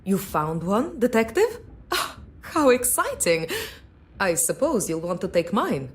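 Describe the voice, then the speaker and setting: A woman's voice: mid-range voice, big pitch swings, unhurried. An actress playing a well-bred woman under questioning in a mystery game, teasing and polite with a touch of nerves. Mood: playful, excited. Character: coy, well-bred woman suspect, playful yet nervous. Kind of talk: video game voice acting.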